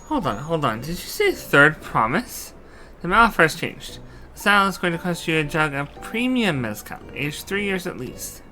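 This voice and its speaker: Man acting out a stubborn game shopkeeper, male, bright forceful voice, brusque haggler, music behind. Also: on the high side, very animated, normal speed, pitched above the performer's own voice. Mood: hard, unyielding. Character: shrewd, stubborn male saddle maker driving hard bargains. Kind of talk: amateur character voicing of game dialogue.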